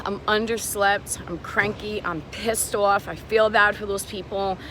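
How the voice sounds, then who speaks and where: A woman's voice: mid-range voice, steady tone, a little slow, bright, forceful tone. A woman vlogging from her phone camera as she walks outdoors, talking to her viewers in an emotional, frustrated way and swearing often. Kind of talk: walking street vlog talking to camera. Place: outdoors on a city street. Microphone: handheld phone camera. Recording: noisy outdoor street recording. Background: traffic and street noise.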